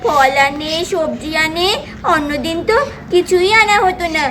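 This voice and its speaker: A woman's voice: sits high, unhurried. A woman voicing a young household helper in a doll puppet cartoon, complaining to herself about all the work. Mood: grumbling. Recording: steady background noise.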